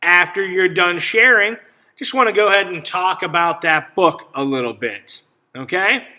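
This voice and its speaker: A man reading a picture book aloud to children as a teacher, pausing to ask simple questions and explain things. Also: high voice, pushed and bright. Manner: instructive, checks understanding with simple questions.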